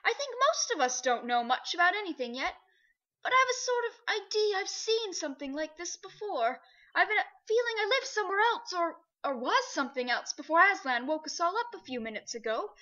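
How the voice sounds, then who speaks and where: A woman reading aloud, voicing a gentle, bewildered old male cab horse who speaks slowly and thoughtfully. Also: very high-pitched, very animated, voice pushed up, exaggerated voice. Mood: musing. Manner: slow, thoughtful, musing. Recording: dull lo-fi recording.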